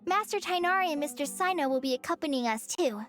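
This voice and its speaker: A woman's voice: high voice, expressive, fast talker, fairly bright tone, faint music behind. A woman voicing an earnest, courteous young girl, a forest ranger in a video game. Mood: grateful. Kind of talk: animated video game voice acting.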